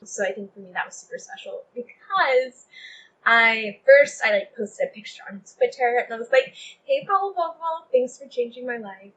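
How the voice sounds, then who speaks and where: A woman's voice: a little high, animated, moderate pace, fairly bright tone, low-quality recording. Effusive young woman with background noise. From Texas, United States. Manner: effusive, emphatic, frequent "like". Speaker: playwright guest. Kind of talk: casual interview chat.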